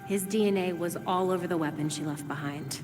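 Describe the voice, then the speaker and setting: An actress playing a smart, matter-of-fact young tech expert in a video game. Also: female voice, fairly low pitch, brisk, natural voice. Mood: businesslike. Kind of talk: video game cutscene acting. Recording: long reverb, music behind.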